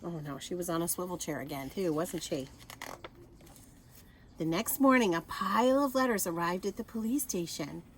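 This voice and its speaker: A woman reading a picture book aloud to children in an expressive manner, adding asides and questions for her young listeners. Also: fairly low pitch, normal speed, slight background noise.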